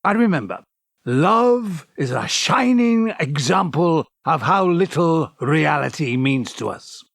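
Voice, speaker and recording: A man's voice: fairly high pitch, lively intonation, slow pace, creaky, dry recording, studio quality, heavily acted. An actor in an audio drama playing a wistful, bookish man who recalls his past loves in articulate, formal, slightly hesitant phrases. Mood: reflective. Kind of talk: audio drama acting, dialogue and reflective monologue.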